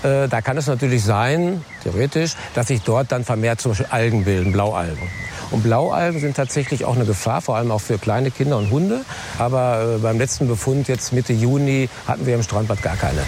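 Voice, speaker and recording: A man's voice: mid-range voice, expressive, a little fast. Hesitant man outdoors, faint traffic. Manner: hesitant, with fillers. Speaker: manager interviewed on location. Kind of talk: spontaneous interview answer.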